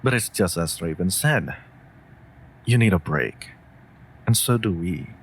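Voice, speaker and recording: A man's voice: deep voice, animated, unhurried, gravelly, slight background noise, full character voice, rough recording. A man playing a devoted, worried wolf familiar in a comforting audio roleplay, speaking tenderly and formally to a mage. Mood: gently firm. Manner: tender, earnest, formal phrasing.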